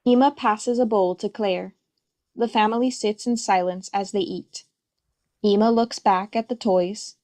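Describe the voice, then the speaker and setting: A woman's voice: mid-range voice, darkish tone. A woman reading stage directions as scripted, present-tense narration for a play.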